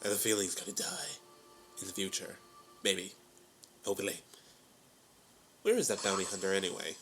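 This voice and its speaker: A woman's voice: a bass voice, lively intonation, brisk, rough recording. A woman hosting a reaction video, giving short, casual commentary. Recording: drama audio and music behind.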